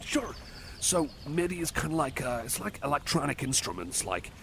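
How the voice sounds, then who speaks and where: A bashful cyborg guy, acted by a man rambles to the listener in an ASMR roleplay. His voice is mid-pitched with a creaky fry, and he hesitates, stammers and apologizes, eager to please. Noisy outdoor ambience with birdsong sits behind him. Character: shy, awkward, eager-to-please young male cyborg buddy.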